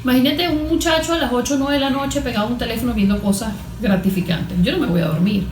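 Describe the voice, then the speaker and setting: Woman, Venezuelan accent, slightly high pitch, didactic interview explanation, rhetorical questions, echoey room recording. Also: fast. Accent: Venezuelan Spanish. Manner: didactic, poses questions and answers them. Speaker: guest therapist being interviewed. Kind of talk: explanatory interview talk with everyday examples. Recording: roomy, echoing room sound.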